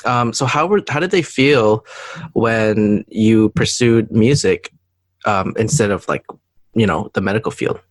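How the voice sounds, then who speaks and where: A man's voice: lowish voice, a little slow, no echo. Guy asking interview questions, laid back, dry close mic. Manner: relaxed, brief prompting questions. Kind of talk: casual interview.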